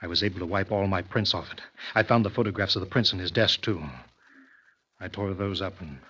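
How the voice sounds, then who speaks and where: A muffled old radio thriller recording carries a coolly murderous man of science. His voice is low, husky and hard-edged, and he speaks with glib, domineering assurance. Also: steady tone, brisk, pushed and bright, heavily acted. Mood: pained, unrepentant. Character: coolly ruthless male killer scientist, smooth and domineering.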